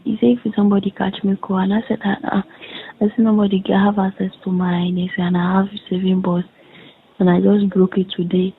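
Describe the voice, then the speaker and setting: A young adult woman with a Nigerian accent casually recounts a conversation, haltingly and repetitively, quoting who said what. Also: medium pitch, creaky. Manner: halting, repetitive retelling with reported dialogue. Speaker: caller in a private phone conversation. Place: recorded phone conversation. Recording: muffled lo-fi recorded phone call.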